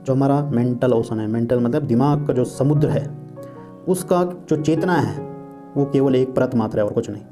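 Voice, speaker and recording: A man teaching, reading English lines slowly and explaining each point in Hindi, often asking and answering his own questions. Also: medium pitch, warm tone. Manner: reads English quotes slowly and deliberately.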